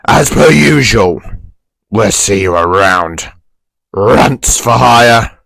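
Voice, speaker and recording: A menacing mutant brute, growling out his words. The voice is low, gravelly and rough, recorded dry and close. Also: animated, takes it slowly, fairly bright tone, no echo, full character voice. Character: Sabretooth, menacing growling male mutant villain.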